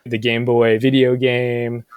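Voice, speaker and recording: An American man in his thirties chats casually and reflectively, often pausing to search for words and saying "like" a lot. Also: medium pitch, monotone, clear, resonant tone, no room sound, highs cut off. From Seattle suburbs, United States. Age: 30s. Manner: halting, searching for words, frequent "like". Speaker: podcast co-host, bookstore manager.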